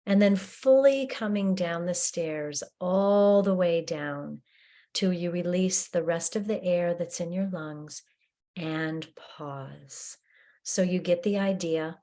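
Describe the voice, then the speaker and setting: A woman leading a guided breathing meditation in a slow, gentle, soothing way with long pauses. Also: lowish voice.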